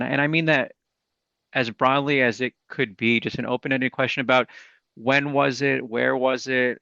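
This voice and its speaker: A man's voice: mid-range voice, creaky, pushed and bright, no echo. A man hosting a podcast, asking an earnest, open-ended interview question in a conversational way. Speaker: podcast host. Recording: dry, dull lo-fi audio.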